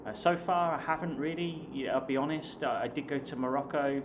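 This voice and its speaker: A man's voice: medium pitch, brisk. A man in his late thirties from the south of England, with a southern English accent, chatting casually to viewers as a vlogger. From Hampshire, south of England. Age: late 30s. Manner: chatty, addresses viewers as guys. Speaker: online English teacher and vlogger. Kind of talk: casual talk to camera. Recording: clear background noise.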